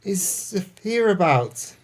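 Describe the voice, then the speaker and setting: A man acting in an audio play as a crooked swindler, creaky-voiced, florid and melodramatic. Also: high-pitched, sing-song, slow pace, exaggerated voice. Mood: mock mournful. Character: crooked male swindler, mournfully grandiose and evasive.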